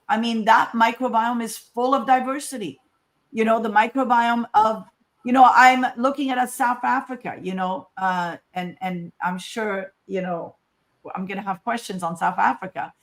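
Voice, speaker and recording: A woman from Morocco speaking as a scientist in an informal talk, emphatic and conversational, often asking rhetorical questions. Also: a little high. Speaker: scientist giving a conference talk.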